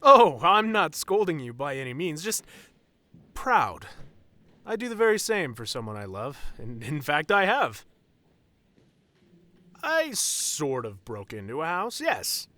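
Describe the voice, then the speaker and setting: A man's voice: on the high side, big pitch swings, a little slow, pauses often, sharp tone, full character voice. A man playing a proud, playful wedding officiant with a theatrical, formal manner in an audio roleplay drama. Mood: proud, teasing.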